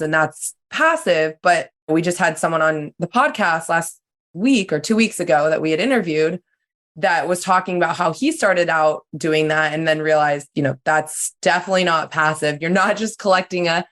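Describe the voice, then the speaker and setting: Woman podcast cohost, a bit hoarse, lowish voice, chatty with lots of likes and kindas. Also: moderate pace, a bit bright. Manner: chatty, loose with fillers. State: losing her voice a little. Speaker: podcast co-host. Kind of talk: casual podcast chat.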